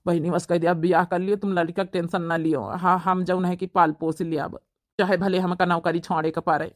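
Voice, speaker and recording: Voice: mid-range voice, flat delivery, a little fast, no echo, studio quality, close to an everyday voice. A performer playing an earnest, devoted young village man with an Awadhi accent in a family cartoon. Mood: anguished. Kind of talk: family drama cartoon dialogue.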